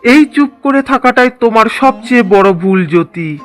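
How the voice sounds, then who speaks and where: A man's voice: extremely high pitch, lively intonation, normal speed, soft background music. A man playing an earnest, reproachful young village son in a cartoon drama. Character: indignant, dutiful young married village son. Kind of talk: Bengali cartoon drama dialogue.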